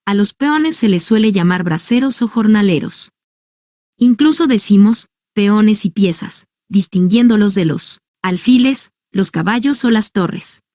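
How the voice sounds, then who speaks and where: Woman narrator, nonfiction audiobook, calm measured reading, mellow dark tone, dry close sound. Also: medium pitch, no room sound. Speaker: audiobook reader. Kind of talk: scripted narration of a nonfiction book.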